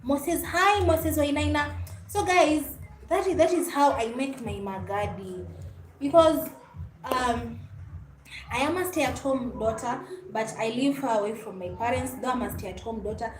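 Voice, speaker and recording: Casting: female livestream host, Kenyan accent, high register, friendly casual chat, recorded in a reverberant room. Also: unhurried. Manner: friendly, mixes English and Swahili, often thanks and greets viewers. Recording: echoey room with faint background noise.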